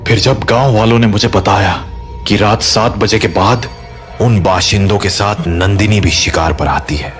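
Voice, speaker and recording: A man playing a grave, protective father in an audio drama, speaking in a solemn, measured way. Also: on the low side, fairly bright tone, soft background music, close to an everyday voice. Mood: sorrowful.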